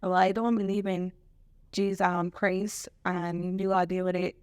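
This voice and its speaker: A woman, speaking as a guest in a halting, soft-spoken way. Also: fairly low pitch, little pitch movement, clear, resonant tone, no room sound, studio quality. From Barbados. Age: 20s. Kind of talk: casual chat.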